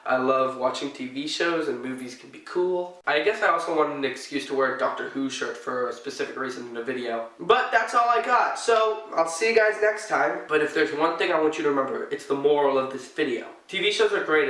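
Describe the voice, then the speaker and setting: A man's voice: medium pitch, pushed and bright, far from the mic. Stuffy-voiced man in an echoey room. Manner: chatty and enthusiastic, with sarcastic asides. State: stuffy, sick voice. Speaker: vlogger. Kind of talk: casual vlog commentary. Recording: echoey room, away from the mic, background music. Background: background music.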